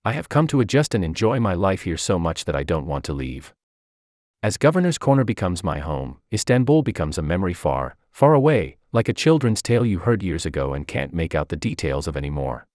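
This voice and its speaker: A man reading a personal essay aloud in a measured, reflective way. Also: low voice, very animated, darkish tone, no echo, clean studio sound. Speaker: article reader.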